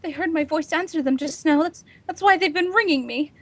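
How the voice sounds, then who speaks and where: A panicky snobbish housewife in an old 1940s radio thriller, super high voice, melodramatic, dry sound. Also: female voice, a little fast, dry recording. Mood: anxious, agitated. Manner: haughty, melodramatic, agitated. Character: nervous, imperious, panicking housewife alone at night.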